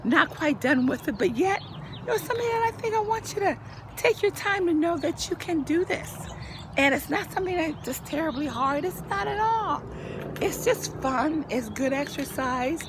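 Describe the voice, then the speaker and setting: An older woman with a high voice that she says is wearing out speaks in a lively, churchy, encouraging way. She films herself outdoors in her backyard on a handheld phone, with a faint hiss behind her. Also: normal speed. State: losing her voice. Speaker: vlogger. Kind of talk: casual home video tour.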